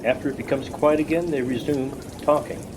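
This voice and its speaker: A man's voice: medium pitch, steady tone, brisk, lightly acted. A man playing the narrator of a student radio play, reading scripted scene descriptions in a matter-of-fact way. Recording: rain noise behind. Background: rain.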